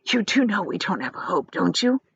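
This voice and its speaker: Lady doing an excited old grandpa from a storybook, whispery n nervous, eager, bit muffled recording. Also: medium pitch, normal speed, no room sound. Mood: nervous, hopeful. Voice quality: breathy whispering.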